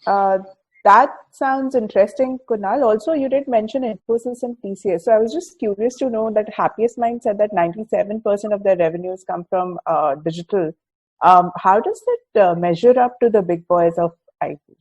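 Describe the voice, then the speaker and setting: A woman's voice: medium pitch, moderate pace, breathy edge, rough recording. A woman hosting a podcast and putting questions to a colleague in a conversational style. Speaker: podcast host, reporter asking questions.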